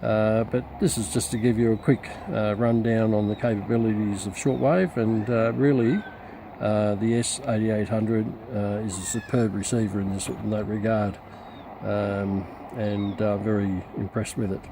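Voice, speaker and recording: A man's voice: on the low side, steady tone, moderate pace. A middle-aged man giving an informal, unscripted hands-on radio demonstration, hesitant and muttering as he works. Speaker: radio hobbyist reviewer. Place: outdoors in a backyard. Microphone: camera mic, behind the camera. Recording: loud radio static and background hiss. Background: shortwave radio noise.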